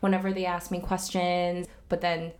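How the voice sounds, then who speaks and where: A young woman with a slightly low-pitched voice chats casually on a podcast. Her first language is Cantonese, and she talks with many fillers, restarts and easy laughter. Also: completely flat delivery, normal speed, darkish tone. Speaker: podcast guest. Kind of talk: casual chat.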